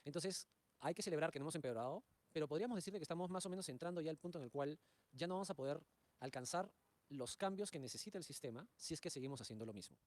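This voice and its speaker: A man speaking in an interview clip, explaining in a measured way. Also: on the high side, expressive, slight background noise.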